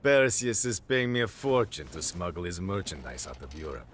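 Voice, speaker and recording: An actor playing a menacing, gloating crime boss in a video game cutscene, speaking in a taunting, deliberate way. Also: male voice, low voice, expressive, a bit bright. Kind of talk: cinematic video game acting. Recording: faint noise behind the voice.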